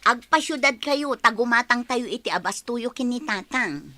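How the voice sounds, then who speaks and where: A woman's voice: a little high, expressive, moderate pace, bright, forceful tone, dry recording. An actress with a Filipino accent playing a thrifty, frustrated young working woman who complains emphatically in a radio drama. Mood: reassuring. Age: young adult.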